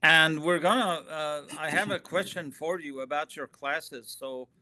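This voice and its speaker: A man's voice: on the high side, measured pace, bright, forceful tone, no room sound. A man whose first language is Persian, hosting an interview in a friendly, hesitant way with many fillers. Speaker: interview host. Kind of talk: interview conversation.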